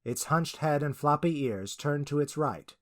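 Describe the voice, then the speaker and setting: A man's voice: fairly high pitch, animated, measured pace. A man narrating a scripted fantasy story as the storyteller of an audio drama.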